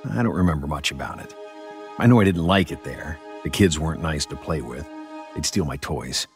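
A man's voice: rumbling low, fairly quick, faint music behind. An actor playing a grown man who recounts an uneasy childhood memory in a colloquial, wry way, as first-person horror storytelling. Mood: uneasy, wry reminiscing.

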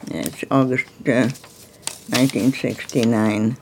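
An American woman over a hundred years old reminiscing casually in an interview, her voice aged and creaky. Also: very deep voice, steady tone, unhurried, noisy background. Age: over 100.

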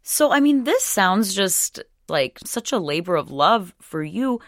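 A woman's voice: medium pitch, big pitch swings, measured pace, no room sound, studio quality. A woman interviewer in a conversational radio style, attentive to the person she is speaking with. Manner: attentive, frequent listening murmurs.

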